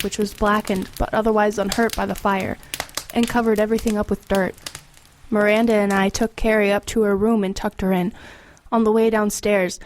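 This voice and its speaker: A woman's voice: medium pitch, slight background noise, close to an everyday voice. A young woman playing an earnest, anxious but resolute teenage girl who narrates and acts in an audio horror drama. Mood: subdued. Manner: earnest, urgent in dialogue, reflective in narration. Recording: rain and ambience behind the voice.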